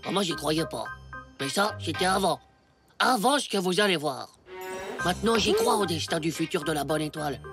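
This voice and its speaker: A woman voicing an excitable, mischievous ten-year-old schoolboy in an animated series, slangy and dramatic. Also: fairly low pitch, moderate pace, music in the background. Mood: amazed.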